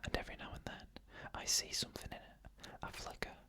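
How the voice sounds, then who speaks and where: Whispering ASMR horror storyteller. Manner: soft unvoiced whisper throughout, slow measured phrasing.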